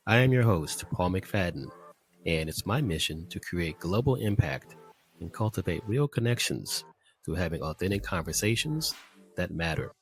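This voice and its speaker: Deep-voiced upbeat male podcast host. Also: lively intonation, moderate pace. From United States. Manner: upbeat, effusive, friendly. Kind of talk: casual podcast interview.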